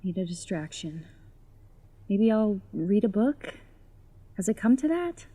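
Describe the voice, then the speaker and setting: A nervy sarcastic homebody girl, slow swooping delivery, audio drama, some background noise. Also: mid-range voice, big pitch swings, warm tone. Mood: annoyed, uneasy. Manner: jittery, sarcastic. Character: anxious, sulky young homebody woman. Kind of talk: horror comedy audio drama.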